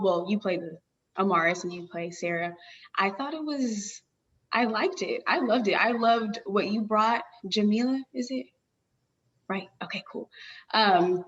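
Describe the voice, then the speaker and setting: A woman's voice: medium pitch, fairly bright tone. A woman chatting casually as an actor giving feedback, on slightly lo-fi call audio. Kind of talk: casual chat.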